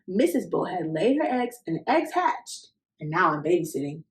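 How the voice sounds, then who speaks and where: Lady playing a proud chirpy daddy fish in a kids picture book, really swoopy pitch. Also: very animated, normal speed. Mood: proud, cheery. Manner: upbeat, chirpy. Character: cheerful proud male fish fathers minding eggs. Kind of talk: children's storybook read-aloud.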